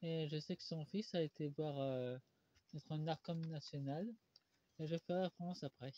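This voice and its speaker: Casting: halting tarot reader with a stuffy cold, man, somewhat high and mellow voice. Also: no room sound. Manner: halting, with many pauses and "euh" fillers. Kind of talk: solo tarot card reading. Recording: dull lo-fi recording.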